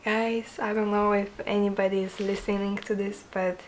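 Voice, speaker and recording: A young woman with a soft, quiet voice talking casually in a chatty vlog, earnest and a little hesitant. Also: mid-range voice, level tone, normal speed, a little hiss or hum, low-quality recording. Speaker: vlogger.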